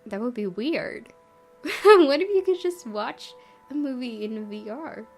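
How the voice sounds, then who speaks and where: A woman's voice: a little high, expressive, unhurried. A woman hosting a let's play, commenting casually in a chatty, giggly, rambling way. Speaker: gaming video host. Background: soft game music.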